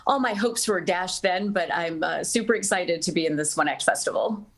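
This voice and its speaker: A woman's voice: on the low side, moderate pace. A woman answering as an interview guest in a casual, conversational style. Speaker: interview guest, radio broadcaster and actor.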